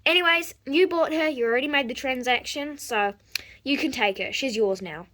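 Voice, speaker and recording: Brief: drawling horse seller, blunt and lecturing, high voice, as a woman puts him on. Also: normal speed, fairly bright tone. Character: brisk country horse seller.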